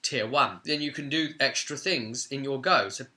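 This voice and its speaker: A man's voice: medium pitch, normal speed, sharp tone. A man presenting an informal explainer on game rules in an enthusiastic, conversational manner. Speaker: presenter of a tabletop game guide.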